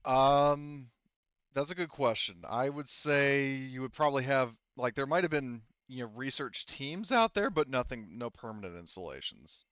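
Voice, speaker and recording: A man with a clear, smooth voice acts as game master in casual conversation. His pitch is mid-range, and the recording is dry and slightly dull. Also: animated, normal speed, a bit bright, dry recording. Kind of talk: casual tabletop game refereeing.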